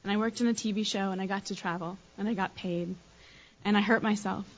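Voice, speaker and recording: A woman's voice: mid-range voice, fairly even intonation, brisk. A woman from the United States in her thiries giving a candid, self-deprecating recovery share, rambling with many fillers. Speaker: recovery meeting speaker. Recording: dull lo-fi recording.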